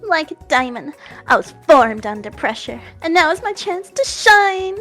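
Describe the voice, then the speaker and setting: Casting: woman as vain, fierce young female rival, very high voice, grand dramatic declarations for a comedy game. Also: big pitch swings, unhurried, pushed and bright, faint music behind, full character voice. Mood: defiant, boastful.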